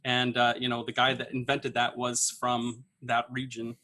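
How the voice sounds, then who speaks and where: A man improvising a mock explanation on a comedy panel, halting and full of hesitations. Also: medium pitch, monotone, pushed and bright. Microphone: video call.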